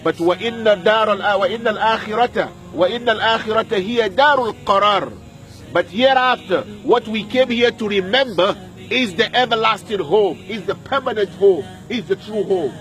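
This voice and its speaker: A man's voice: high-pitched, normal speed, fairly bright tone, faint music behind. A man preaching a sermon at a graveyard, repeating lines and putting questions to his listeners, with Arabic verses in between. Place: outdoor graveyard.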